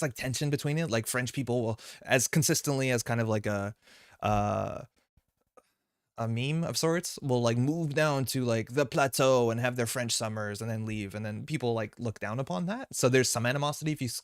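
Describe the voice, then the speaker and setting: A man hosting a live stream chats loosely, wandering between thoughts with plenty of fillers and restarts. His first language is Slovenian, and his voice sits at a middling pitch. It was recorded dry and close on a desk mic. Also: a bit bright, no echo. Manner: rambling, many fillers and restarts. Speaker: stream host. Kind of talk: casual live-stream chat.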